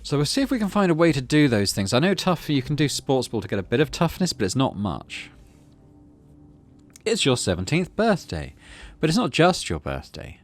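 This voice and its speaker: A man's voice: medium pitch, moderate pace, faint music behind. A man hosting a gaming channel, reading game narration aloud expressively and adding casual commentary. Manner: expressive storyteller reading with asides.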